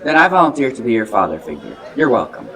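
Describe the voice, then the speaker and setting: A man's voice: mid-range voice, very animated, quick pace, pushed and bright, low-quality recording. A man playing a goofy, earnest diner owner in a student radio play. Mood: eager, put out. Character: goofy, clueless male donut diner owner.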